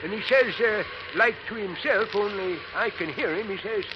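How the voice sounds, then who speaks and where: A very high, folksy old male boatman acted by a man from a vintage radio drama. He rambles in a dialect, worried and never hurried. The sound is narrow and faintly noisy, like an old broadcast. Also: natural voice. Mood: rambling, grave. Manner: rambling, repeats himself. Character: worried, long-winded old male boatman, stubbornly unhurried. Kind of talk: 1940s radio acting.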